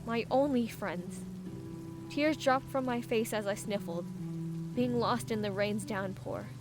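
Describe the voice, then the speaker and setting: A woman's voice: fairly high pitch, a little slow, music in the background, natural voice. A woman playing an emotional, impulsive young female fighter in a fantasy audiobook. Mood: sad. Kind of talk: dramatised audiobook, narration and acting.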